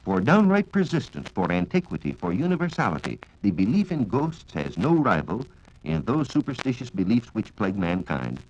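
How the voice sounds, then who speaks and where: Low-voiced, animated American radio science lecturer. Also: male voice. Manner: formal, measured lecturing with rhetorical questions. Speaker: science reporter and radio speaker. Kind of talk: 1940s radio science talk, scripted. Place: radio studio. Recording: dull vintage 1940s radio recording.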